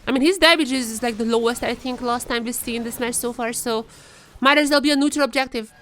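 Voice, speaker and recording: Female esports caster, high voice, excitable and chatty, live watch-party commentary. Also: fast. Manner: excitable, chatty, rising into shouts in action.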